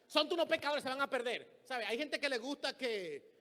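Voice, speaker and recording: A man's voice: extremely high pitch, lively intonation, normal speed, pushed and bright. A man with a Dominican Spanish accent preaching a sermon in a church, telling stories and acting out the people he quotes. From Dominican Republic. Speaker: pastor. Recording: long reverb of a church hall.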